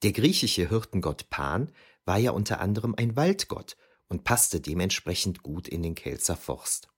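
A man's voice: sits low, sing-song, normal speed, slightly rough, heavily acted. A man playing a reflective ancient historian who narrates memories of youth in a scripted, wryly explanatory way for an audio drama. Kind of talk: scripted first-person narration.

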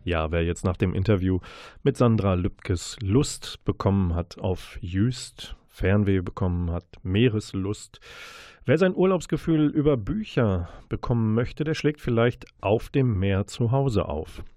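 A man's voice: on the low side, expressive, unhurried, mellow, dry recording, studio quality. A man hosting a radio book show, presenting and interviewing in long, elaborately worded sentences.

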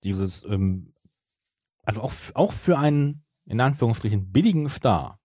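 A man's voice: medium pitch, expressive, normal speed, mellow, husky, dry recording, clean studio sound. A man hosting a podcast, chatting casually in an argumentative, ironic way. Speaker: podcast host. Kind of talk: casual chat.

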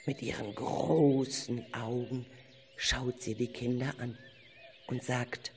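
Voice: medium pitch, fairly even intonation, slow pace. A narrator telling a scripted radio play in a dramatic storytelling manner, speaking to the listeners. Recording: faint background noise.

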